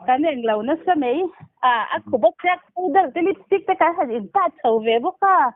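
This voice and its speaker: A woman's voice: high-pitched, animated, quick pace, no room sound. A woman chatting casually on a phone call, heard over a tinny, narrow telephone line. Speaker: phone caller.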